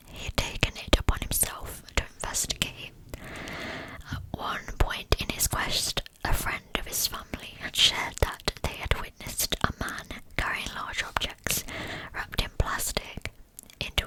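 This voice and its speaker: A woman reading a scripted narration in a hushed, breathy ASMR whisper, with measured storytelling delivery. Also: rough recording. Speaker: ASMR true crime narrator.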